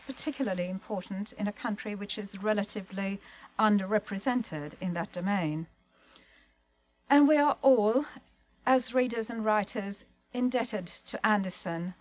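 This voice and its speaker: A woman speaking as a panellist, giving a prepared literary talk in an articulate, formal way with measured phrasing. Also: mid-range voice, poor audio. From migrated to Australia, lived in Melbourne.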